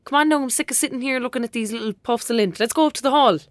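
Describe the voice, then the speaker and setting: A woman's voice: a little high, rapid-fire, no echo, lightly acted. A woman with a rural Irish accent playing a blunt, grumbling, mischievous young girl in an audio drama. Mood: dismissive, impatient. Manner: grumbling, teasing.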